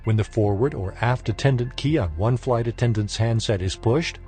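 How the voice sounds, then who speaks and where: A man reading a scripted technical training lesson in a formal, measured, instructional manner. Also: medium pitch, darkish tone, faint music behind. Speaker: instructor narrator.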